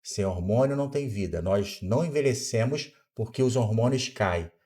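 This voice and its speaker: A man's voice: lowish voice, lively intonation, normal speed, dark tone. A Brazilian doctor in his fifties, a podcast guest who explains things in a teaching way and answers his own rhetorical questions. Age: 50s. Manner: didactic, asks himself rhetorical questions and answers them. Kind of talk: explanatory interview talk.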